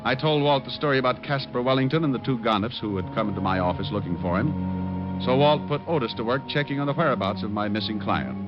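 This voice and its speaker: A man's voice: mid-range voice, fast talker, close to an everyday voice. Glib radio private eye played by a man, mid-range voice, cool, wry narration and banter. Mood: wry narrating. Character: wisecracking male private detective, cool and cocky. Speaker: lead and narrator. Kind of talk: old-time radio detective narration and dialogue. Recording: muffled old radio recording with music.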